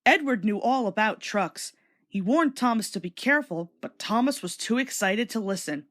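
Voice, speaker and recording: A woman's voice: mid-range voice, expressive, normal speed, a bit bright. A woman reading a children's story aloud as a scripted read-along narrator.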